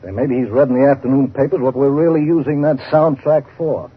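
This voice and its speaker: An actor playing a worried, scheming American crooked lawyer and crime boss in 1930s radio drama, curt and bossy. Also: male voice, mid-range voice, fairly even intonation, fairly quick, slightly rough, lightly acted. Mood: cold scheming. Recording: tinny, phone-like 1930s radio recording.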